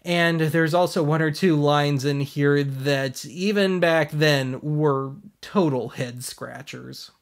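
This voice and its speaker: A man's voice: on the high side, slow, hardly any pauses. A man hosting casual review commentary in a dry, sardonic way, recorded at home on a condenser microphone. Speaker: host. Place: home room with shelves. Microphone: large condenser microphone.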